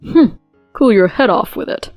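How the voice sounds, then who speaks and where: Woman putting on a loud, scolding angry husband. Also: fairly high pitch, lively intonation, unhurried, no echo, studio quality, faint music behind. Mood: mocking. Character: angry, aggressive middle-aged husband.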